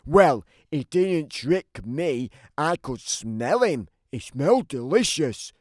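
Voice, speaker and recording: Grumpy hungry giant, acted by a man; theatrical children's storybook read, fairly high for a man, close dry sound. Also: very animated, slow pace, no room sound, heavily acted. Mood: aggrieved, grumbling. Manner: theatrical, emphatic storybook delivery. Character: grumpy, aggrieved, always-hungry big giant telling his side. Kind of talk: scripted children's storybook narration.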